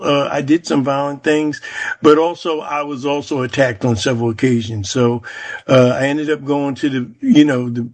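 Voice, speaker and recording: An American man in his seventies from New Jersey, recounting his life in an interview with a reflective, halting delivery and frequent pauses. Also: on the high side. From Jersey City, New Jersey, United States. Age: 70s. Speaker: interviewee, Vietnam War veteran. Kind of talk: personal oral history interview.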